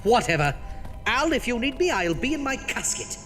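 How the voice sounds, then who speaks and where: A man's voice: very high-pitched, big pitch swings, normal speed, over loud music. A man playing an irritable, self-important undead horror host, blustering theatrically in a comic horror radio drama. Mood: indignant.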